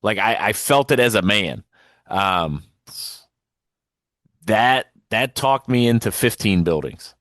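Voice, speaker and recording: A man with a fairly low voice co-hosts a casual wrestling podcast. He speaks informally and effusively, doubling up words for emphasis. The recording is close and dry. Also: expressive, takes it slowly, pauses often, a bit bright, no echo. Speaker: podcast co-host.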